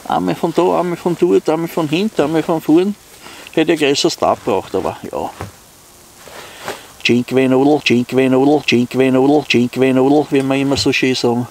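A man's voice: medium pitch, steady tone, moderate pace, slight background noise. A man with an Austrian accent talks casually and unscripted to his viewers in an easygoing, rambling way, as an outdoor vlogger. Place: outdoors in snowy woods.

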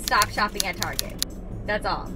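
A woman's voice: mid-range voice, normal speed, over music. Chatty young woman vlogging from her car. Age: young adult. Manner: chatty, upbeat, frequent "like" fillers. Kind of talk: casual drive-along vlog chat. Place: inside a parked car. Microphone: camera on the dashboard.

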